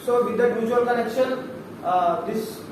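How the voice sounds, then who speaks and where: A young man with a Telugu-Indian accent gives a halting seminar talk, full of restarts and fillers. His voice sits high for a man. He is picked up from far away in a very echoey, noisy room. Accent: Indian English, Telugu speaker. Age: young adult. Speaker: philosophy student presenting at a seminar.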